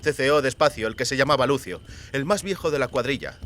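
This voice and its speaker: A man's voice: medium pitch, brisk, a bit bright, slight background noise. A man reading scripted literary narration for a fiction audiobook.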